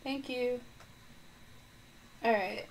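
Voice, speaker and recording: A woman's voice: fairly high pitch, extremely slow. A woman streaming a video game live, chatting casually as she thinks aloud and responds to viewers, with her microphone placed on the floor. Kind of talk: live gameplay stream commentary.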